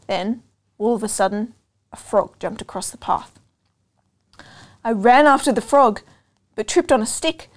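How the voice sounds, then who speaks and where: A young woman reads a picture book aloud for children. Her voice is fairly high, and she goes slowly and expressively, with deliberate phrasing and questions to the listener. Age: young adult. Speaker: storytime reader. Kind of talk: children's storybook read-aloud. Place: indoor room with couch and bookshelves.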